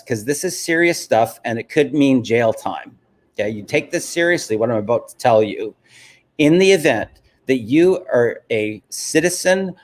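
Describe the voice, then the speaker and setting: A man hosting a live stream, answering viewers and stressing points with frequent "okay?" check-ins. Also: medium pitch. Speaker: host of a live business stream.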